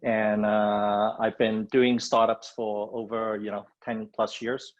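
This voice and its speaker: A man's voice: on the low side, level tone, takes it slowly. Man from Hong Kong on a dull video call. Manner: loose and conversational, many fillers. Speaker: guest speaker. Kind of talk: webinar presentation.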